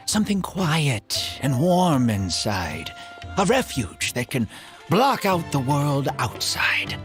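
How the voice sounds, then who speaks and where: A man's voice: fairly high pitch, big pitch swings, slow, a bit bright, faint music behind, heavily acted. An actor playing a humble, courteous older man, an earnest former mercenary, in video game dialogue.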